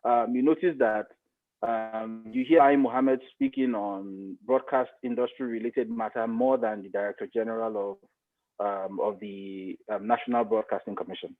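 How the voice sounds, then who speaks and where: A man's voice: lowish voice, fairly even intonation, normal speed, phone-quality sound, faint music behind. A middle-aged man with a Nigerian accent, explaining his view as an expert guest in a podcast interview and repeating points for emphasis. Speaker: guest, broadcast media expert.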